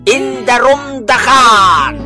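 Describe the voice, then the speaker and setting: A woman with an Ilocano accent playing a stern, hot-tempered older wife in a radio drama, emphatic and drawn-out. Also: a little high, over music. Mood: angry, gloating.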